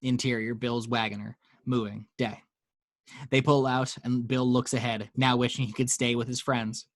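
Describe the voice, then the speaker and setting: A man's voice: medium pitch, level tone, normal speed, no room sound, studio quality. A man reading action descriptions as narrator in a dramatic screenplay table read. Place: at home.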